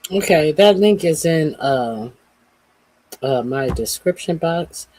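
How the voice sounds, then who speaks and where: A man hosting a political commentary monologue, speaking haltingly with many "uh"s and a tone of exasperated disbelief. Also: on the high side, animated, darkish tone, slight background noise, low-quality recording.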